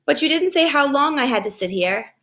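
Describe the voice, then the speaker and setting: A woman's voice: high voice, animated, fairly quick, a bit bright, voice pushed up. A woman reading a storybook as a blunt, earnest five-year-old girl with a plaintive manner. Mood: hesitant, earnest. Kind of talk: children's storybook read aloud.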